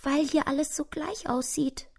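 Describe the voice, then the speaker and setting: A woman voicing a small, timid, lost little mouse in a children's story, with a soft, breathy, plaintive voice. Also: high voice, a little slow, voice pushed up.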